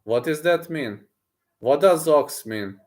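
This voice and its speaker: A man's voice: on the high side, slow pace. Boastful male streamer. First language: Serbian. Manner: boastful, excitable, repeats himself. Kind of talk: casual livestream chat, reading messages aloud.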